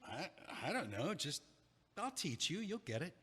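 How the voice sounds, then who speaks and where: A man's voice: a little high, very animated, moderate pace, fairly bright tone, slightly rough, exaggerated voice. An actor playing an older male friend, exasperated but caring, in an audio play. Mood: earnest, caring. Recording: echoey hall with long reverb.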